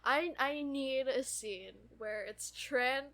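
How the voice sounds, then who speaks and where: A woman co-hosting a podcast in casual fan chat, excitable and often stammering over repeated words. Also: high-pitched, takes it slowly. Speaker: podcast co-host.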